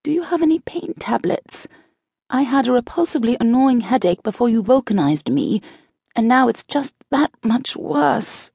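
A woman voicing a chatty, curious young British woman disguised as a nun, full of rapid questions and unafraid. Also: on the high side, animated, creaky, darkish tone, slightly rough, no echo, studio quality, pitched above the performer's own voice, exaggerated voice. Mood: chattering. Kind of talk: audiobook dialogue.

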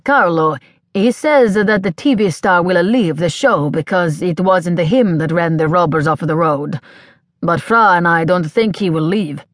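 A woman voicing a brash, flirtatious man who speaks slow, halting broken English. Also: lowish voice, dry recording, clean studio sound, close to an everyday voice. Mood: chatty. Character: brash flirtatious Italian man, self-assured.

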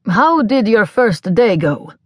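A woman voicing a brash, flirtatious man who speaks slow, halting broken English. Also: mid-range voice, big pitch swings, no echo, voice pushed up. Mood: chatty. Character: brash flirtatious Italian man, self-assured.

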